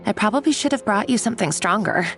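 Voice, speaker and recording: A woman playing a sympathetic, modest kitchen coworker in a video game, gentle and conversational. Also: mid-range voice, lively intonation, fast, over music, lightly acted.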